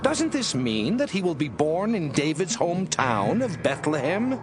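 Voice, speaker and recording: A man's voice: on the high side, sing-song, moderate pace, over music. Man playing a doubting objector, wildly swooping voice. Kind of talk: audio Bible drama acting.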